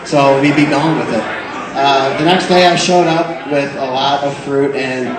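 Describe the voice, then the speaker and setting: A young man telling a comic story to a live crowd through a microphone, animated and laughing often as he goes. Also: mid-range voice, measured pace. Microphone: microphone into a speaker system. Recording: very echoey room with crowd noise.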